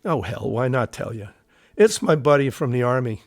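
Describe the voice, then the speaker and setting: Male actor as army veteran, nervous, terse, reluctant, audio drama, animated intonation, dry sound. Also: mid-range voice, normal speed, dry recording, lightly acted. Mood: troubled, reluctant.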